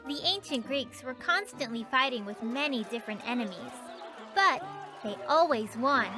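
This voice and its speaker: A woman voicing a patient, knowing young girl who tells stories in an explanatory way in an animated children's series. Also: sits high, normal speed. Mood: storytelling. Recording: music behind the voice.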